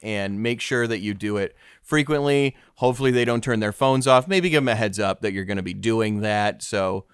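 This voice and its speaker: A man's voice: lowish voice, moderate pace, hardly any pauses, clear, resonant tone, dry recording, clean studio sound. A man hosting a mock-serious comedy interview, hesitant and stammering, sounding awkwardly earnest. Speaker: podcast host.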